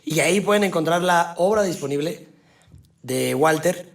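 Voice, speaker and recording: A man with a Mexican accent hosting a podcast interview, laid-back and slangy, quick to agree and chime in. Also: on the high side, gravelly. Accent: Mexican Spanish. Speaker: podcast host.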